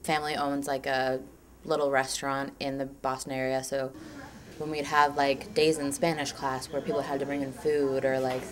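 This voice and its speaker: A woman's voice: rumbling low, flat delivery, moderate pace. A woman in her twenties from Chile, telling personal stories in a chatty, casual way, full of "like" and acted-out quotes. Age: 20s. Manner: chatty, lots of "like", acts out quotes. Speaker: documentary subject. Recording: music playing underneath.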